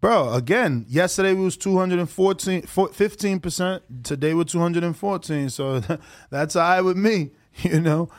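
Man, high voice, dry close sound, excitable, casual swearing podcast host. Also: moderate pace, dry recording. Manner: excitable, swears freely, laughs often. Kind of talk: casual boxing chat.

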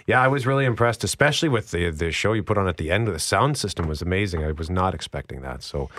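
A man's voice: low-pitched, expressive, fast talker, a bit bright. A man hosting a radio show in a casual, conversational interview style.

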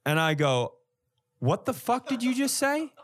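A man's voice: very high-pitched, very animated, measured pace, a bit bright. A man telling comic stories in casual podcast chat, with an exasperated, profane delivery. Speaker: comedian, podcast co-host.

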